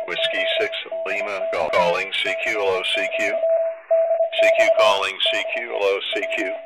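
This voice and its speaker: A man from the United States working as an amateur radio operator, chatting casually and matter-of-factly with call signs and pauses. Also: on the low side, huge pitch swings. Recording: narrow-band, clear background radio noise.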